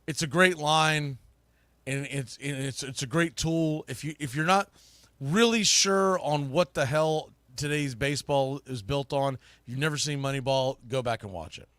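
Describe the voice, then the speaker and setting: A man's voice: a little high, moderate pace, a bit bright, dry recording. A man hosting a live sports talk podcast, speaking casually and emphatically with informal, opinionated, mildly salty phrasing.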